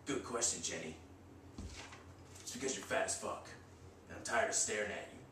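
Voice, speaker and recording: A man's voice: lowish voice, moderate pace, background noise. A young man in his twenties co-hosting a comedy sketch, reading viewer emails in a brash, crude rant. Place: small indoor room against a bare wall. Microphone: far camera. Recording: echoey room from far off.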